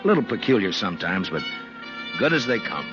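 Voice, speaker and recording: A man's voice: on the low side, sing-song, brisk. An actor playing an easygoing, modest frontier marshal in a 1950s radio western, conversational and a little hesitant in his plain-spoken way. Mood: friendly. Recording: muffled 1950s radio recording with music.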